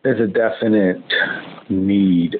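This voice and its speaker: Slow, lowish male voice, tinny noisy line. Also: steady tone. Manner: halting, searching for words. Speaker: podcast co-host. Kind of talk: casual chat. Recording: tinny phone-like line with clear noise.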